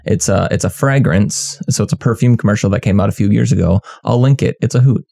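A man's voice: fairly low pitch, fast talker, creaky, warm tone. A young man co-hosting a podcast in casual chat, laid-back and swearing casually. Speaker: podcast co-host.

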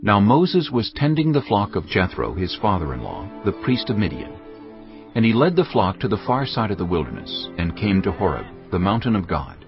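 A man narrating scripture in a measured, solemn way. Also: on the low side, very animated. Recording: dull studio track under music.

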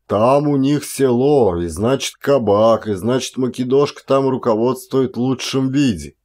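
A garrulous Siberian peasant man, acted by a male audiobook reader, spins his tale in folksy, rambling talk. He is indignant and exclamatory, yet takes his time over the words in a mid-pitched voice. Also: a little slow, a little mellow, natural voice. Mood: excited gossiping. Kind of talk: one-actor audiobook character acting.

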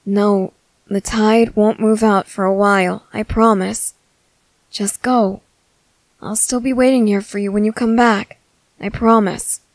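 A woman voicing a gentle, earnest young merman in a soft, halting, soothing ASMR roleplay. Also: mid-range voice, fairly even intonation, dry recording, lightly acted, rough recording. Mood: reassuring.

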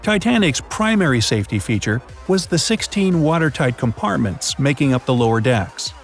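Man narrating an explainer over soft music. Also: mid-range voice, expressive, moderate pace, creaky. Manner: playful asides and jokes. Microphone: studio voice-over. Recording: faint background music.

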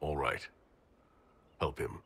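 A man voicing a calm, kindly heroic leader in a video game, with a husky voice and a measured, direct manner. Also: a little hiss or hum. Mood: resolute.

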